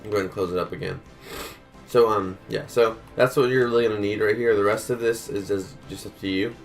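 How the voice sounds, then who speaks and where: A man's voice: lowish voice, a little fast, creaky, soft background music. A man who is a little sick, casually walking through a building tutorial step by step and counting blocks aloud. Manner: relaxed, counts blocks aloud, many fillers. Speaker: gaming tutorial host.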